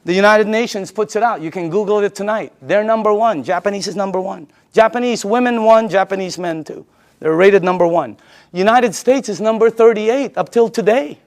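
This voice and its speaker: A woman's voice: mid-range voice, normal speed, a little mellow. A woman from the Philippines giving an informal sales presentation, emphatic and repetitive, often putting rhetorical questions to her listeners.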